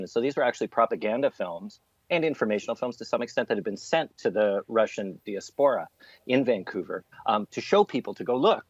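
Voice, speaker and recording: A man's voice: lowish voice, slightly rough. A man being interviewed as a theatre artist, talking in an earnest, discursive way with emphatic repetition. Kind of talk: thoughtful interview talk. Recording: dull, roomy, lo-fi sound.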